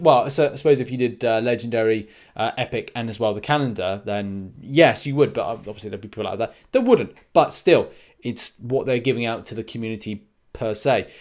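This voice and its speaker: A man with a slightly high-pitched voice hosts a gaming update. He speaks casually and off the cuff, rambling a little as he thinks aloud. His commentary often breaks into short, clipped asides. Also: a little mellow. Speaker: gaming video host. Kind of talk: casual unscripted game news commentary.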